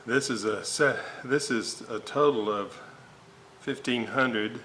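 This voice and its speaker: A man giving an informal repair walkthrough at a workbench, halting and thinking aloud as he works. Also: medium pitch, sharp tone. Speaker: host of a radio restoration video series. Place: indoor workbench, hands working on components. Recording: noticeable background noise.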